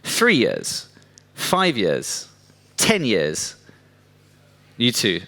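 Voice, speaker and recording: A man's voice: lowish voice, wildly swooping pitch, very slow, creaky, pushed and bright. A man hosting a live talk show on a stage, chatty and jokey, talking to the audience. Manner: chatty, jokey, works the crowd. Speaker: show host.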